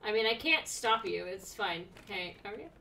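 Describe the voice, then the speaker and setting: A woman's voice: medium pitch, lively intonation, vocal fry, pushed and bright, recorded from a distance, slight background noise. A young woman streaming a video game, making blunt, sarcastic remarks as she plays. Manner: sarcastic, blunt, quick reactions. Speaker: gaming streamer. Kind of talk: casual let's-play commentary. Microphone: desk mic, webcam.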